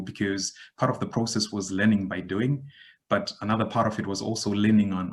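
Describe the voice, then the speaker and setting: A man in his thirties from Zimbabwe, a podcast guest giving a measured, reflective account with frequent pauses. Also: lowish voice, a little mellow. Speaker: guest, digital transformation expert. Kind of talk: podcast interview answer.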